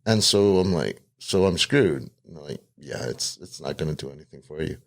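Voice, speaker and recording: A man's voice: very deep voice, normal speed. A man guest who sounds congested, telling stories in a casual chat and laughing often. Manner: laughs a lot, animated storytelling. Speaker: guest, cousin.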